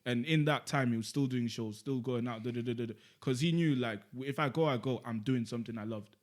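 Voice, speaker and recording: A man's voice: mid-range voice, a little fast. A young man, a podcast co-host talking in casual chat, reflective and rambling, with lots of "like" and "you get me". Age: young adult.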